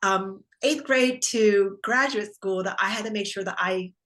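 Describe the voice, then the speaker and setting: A woman's voice: medium pitch, measured pace, a bit bright. A woman whose first language is Vietnamese, speaking earnestly as an optometrist guest in an interview. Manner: earnest, determined, tells personal story. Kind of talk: conversational interview answers.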